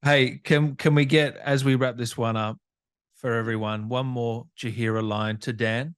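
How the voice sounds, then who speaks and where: An Australian man speaks as an interview host in a medium-pitched voice. His delivery is casual and conversational. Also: fairly even intonation, moderate pace, clear, resonant tone.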